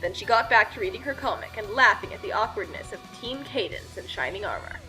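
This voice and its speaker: A woman's voice: medium pitch, expressive, a little fast, pushed and bright, faint music behind, low-quality recording. A woman telling a comedic story as a scripted narration, casual and tongue-in-cheek.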